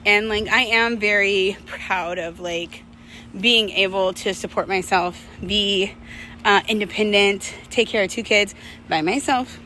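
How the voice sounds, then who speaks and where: A woman's voice: mid-range voice, steady tone, measured pace, pushed and bright, slight background noise. A woman talking casually and candidly to her viewers in a reflective, personal monologue, using conversational slang. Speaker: vlogger telling personal stories to viewers.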